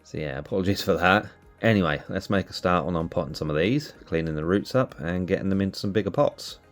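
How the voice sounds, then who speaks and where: A man hosting a cactus channel, sounding nasal from allergies, calmly explaining as he narrates. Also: low-pitched, fast, faint music behind. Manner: relaxed, explanatory. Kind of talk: casual voice-over narration.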